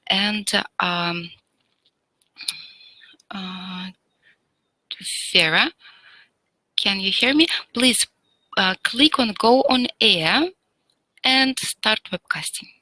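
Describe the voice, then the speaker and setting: A woman moderating an online webinar over a video call, polite and formal, often pausing with fillers. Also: mid-range voice, sharp tone, no echo, low-quality recording.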